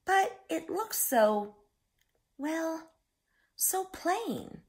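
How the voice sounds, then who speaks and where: A woman voicing a gentle, earnest hermit crab in a children's storybook, speaking politely and with expression. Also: very high-pitched, very animated, drawn-out words, voice pushed up, heavily acted. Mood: disappointed.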